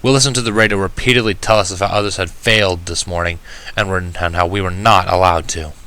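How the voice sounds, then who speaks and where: Low-pitched male storyteller, bright and forceful. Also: fairly even intonation, fast talker, clear, resonant tone. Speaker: fan fiction reader. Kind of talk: first-person dramatic story narration. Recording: music behind the voice. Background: music.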